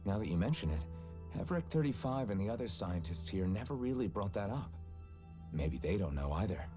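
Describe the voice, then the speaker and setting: An actor voicing a calm, caring young male swordsman hero in a video game cutscene, speaking in a steady, reassuring way. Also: lowish voice, a little fast, music in the background.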